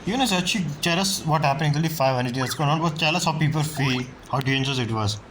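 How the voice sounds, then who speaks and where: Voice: mid-range voice, fast talker, fairly bright tone. Casual game streamer, noisy mic. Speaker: game streamer reacting to story. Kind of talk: casual commentary.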